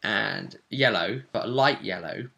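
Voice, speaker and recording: A man's voice: lowish voice, unhurried, gravelly, pushed and bright. A man hosting as game master, casually recapping a tabletop role-play session in a rambling, descriptive way.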